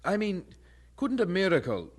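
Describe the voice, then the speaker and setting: A man's voice: sits high, sing-song, moderate pace. An actor playing a devout young male student, thoughtful and intense, with formal, earnest phrasing in an audio drama. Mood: earnest, pondering. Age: young adult.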